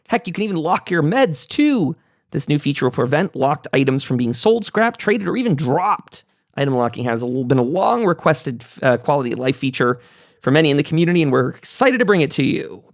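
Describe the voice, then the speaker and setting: A man's voice: a little high, expressive, moderate pace, gravelly, dry recording, clean studio sound. A man hosting a casual roundtable, reading notes aloud and commenting in a chatty, digressive way. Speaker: podcast host.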